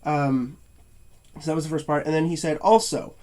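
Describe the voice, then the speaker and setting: A man's voice: on the high side, steady tone, darkish tone. A young man reviewing movies in a casual rant, tired and stuffy-nosed, stuttering and restarting his sentences. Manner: stuttering, halting, many fillers and restarts.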